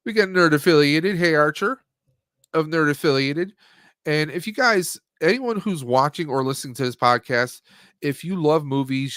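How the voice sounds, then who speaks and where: A man's voice: fairly high pitch, fairly bright tone, smooth, dry recording, studio quality. A man hosting a casual movie review stream, talking in a rambling, conversational way and often rephrasing himself. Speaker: podcast host.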